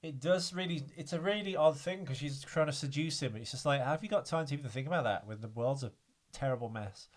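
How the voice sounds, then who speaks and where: A man's voice: fairly high pitch, fast. A man hosting a podcast in casual chat, hesitant and full of fillers, with a frog in his throat. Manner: hesitant, many fillers and "I don't know". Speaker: podcast host.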